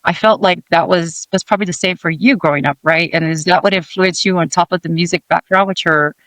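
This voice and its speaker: High-voiced man host, dry close sound. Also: steady tone, a little fast, fairly bright tone, dry recording, rough recording. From American Samoa. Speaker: podcast co-host. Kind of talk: casual interview questions.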